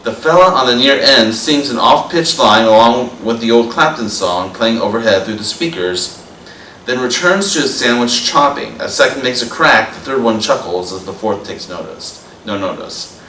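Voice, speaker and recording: A young man hosts a talk show with a bright, forceful voice and earnest, announcer-like emphasis. He sits well back from the microphone, so his voice sounds distant and roomy. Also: mid-range voice, animated, moderate pace, slight background noise. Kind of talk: talk show hosting and poem reading.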